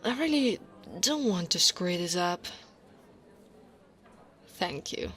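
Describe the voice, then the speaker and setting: An Italian woman playing a tall, devoted female orc royal guard in an ASMR roleplay, speaking softly and intimately as if to the one listener she loves. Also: on the low side, expressive. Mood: soft, confiding. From Italy. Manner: slow, intimate, often dropping to a whisper.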